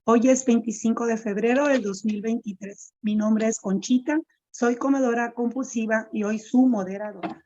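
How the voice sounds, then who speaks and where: A woman moderating a support group meeting, reading the opening statements and prayer in a measured, formal way over a video call. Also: fairly high pitch, fairly even intonation, warm tone, muffled recording.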